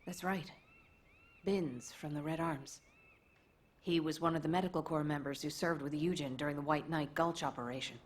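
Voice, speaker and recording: A woman's voice: low-pitched, steady tone, normal speed. An actress voicing an earnest, capable, sombre female squad veteran in a video game, speaking seriously.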